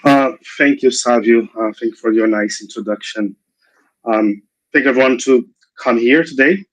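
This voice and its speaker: A man's voice: medium pitch, moderate pace, poor audio. Hesitant Brazilian man with a mixed accent. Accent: mixed Brazilian, British and American English. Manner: hesitant, many restarts and fillers. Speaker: invited guest speaker. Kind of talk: online seminar talk. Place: video call.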